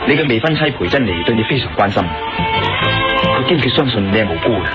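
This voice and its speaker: Male radio-drama investigator played by a man, Hong Kong Cantonese, probing and persuasive, animated pitch, dull sound over music. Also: a little fast, lightly acted. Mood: reasoning, persuasive. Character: male investigator, persistent, reasoning, questioning a suspect.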